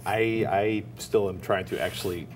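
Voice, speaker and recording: A man's voice: on the low side, steady tone, moderate pace, background noise. A middle-aged American man co-hosting a tech show in an informal, conversational way. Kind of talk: casual studio presenting and banter. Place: indoor TV studio at a desk. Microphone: lapel mic.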